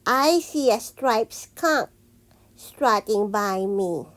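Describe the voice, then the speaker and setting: A woman reading children's picture books aloud as a teacher, in a deliberate, sing-song manner. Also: fairly high pitch, lively intonation, fairly bright tone, slight background noise.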